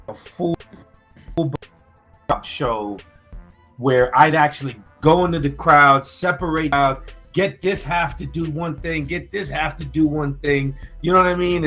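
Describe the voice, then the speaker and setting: A man co-hosting a casual music talk show, animated and loose, often restarting his sentences mid-thought. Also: fairly high pitch, a little slow. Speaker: co-host. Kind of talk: casual chat.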